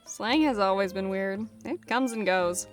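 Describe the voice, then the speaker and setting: A woman's voice: medium pitch, measured pace, soft background music, lightly acted. A woman playing a blunt, casual human companion in an audio roleplay. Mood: dry, casual.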